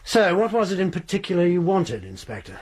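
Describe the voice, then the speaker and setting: A guarded, husky headmaster. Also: male voice, high voice, big pitch swings, brisk, a little mellow, exaggerated voice. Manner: guarded, curt, evasive. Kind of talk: BBC radio drama acting.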